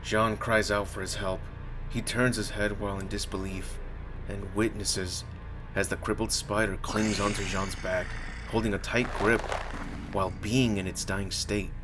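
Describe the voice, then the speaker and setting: A man's voice: on the low side, flat delivery, unhurried, over music. A man narrating his own video as a comic creator, in scripted storytelling and channel talk.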